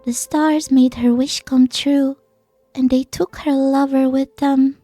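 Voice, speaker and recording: Gentle young girl storyteller for a game fan dub, played by a woman, high yet very mellow, hushed and solemn. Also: fairly even intonation, takes it slowly, dry recording, faint music behind. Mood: solemn, wistful.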